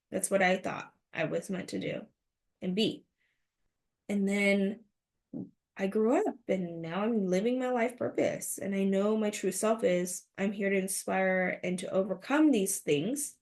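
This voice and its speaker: A woman's voice: medium pitch, darkish tone. A woman in her thirties hosting a motivational podcast, speaking earnestly and asking rhetorical questions. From United States. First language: Mandarin. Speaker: podcast host.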